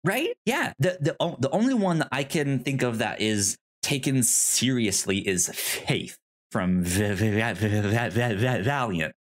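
Halting, slow-paced podcast co-host, stammering repetitions. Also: medium pitch, lively intonation. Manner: halting, frequent stammered repetition of sounds and words. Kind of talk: casual discussion of films and comics.

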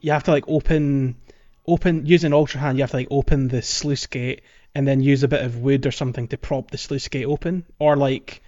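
A man's voice: mid-range voice, fairly even intonation, a little fast, darkish tone, highs cut off. A man hosting a podcast, explaining things step by step in casual gaming chat. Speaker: podcast host. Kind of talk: casual game walkthrough chat.

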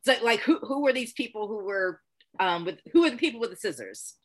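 A woman's voice: medium pitch, animated, brisk. A woman interviewer asking questions in a chatty, casual way, full of fillers and laughs.

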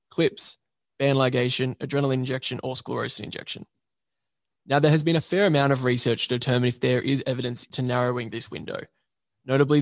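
A man, an emergency registrar, presenting a journal paper by reading a prepared summary in a measured, methodical way. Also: mid-range voice, no echo, studio quality.

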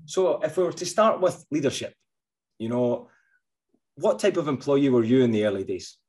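A man's voice: medium pitch, very animated, normal speed, a little mellow, breathy edge. A middle-aged man hosting an interview podcast, speaking enthusiastically and repeating words as he sets up his questions. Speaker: podcast host. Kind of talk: interview host, casual.

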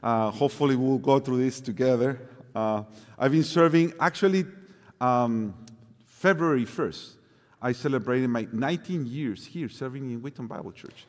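A man's voice: medium pitch, normal speed. Mexican-accented man preaching in a hall. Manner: lively reading with hesitant fillers in speech. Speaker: guest preacher. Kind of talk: scripture reading and sermon. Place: large church sanctuary. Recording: long hall reverb.

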